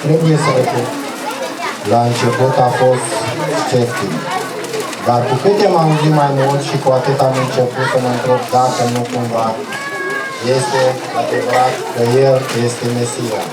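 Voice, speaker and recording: A man playing a sober Roman soldier troubled by doubt, giving measured scripted testimony in a puppet show. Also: mid-range voice, level tone, a loud crowd, natural voice. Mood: troubled, reflective. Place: echoey live puppet show venue. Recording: echoey, distant, very noisy live recording.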